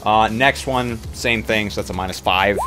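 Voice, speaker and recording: A man's voice: on the low side, moderate pace, over music. A man chatting casually and thinking aloud as a player in a tabletop roleplay.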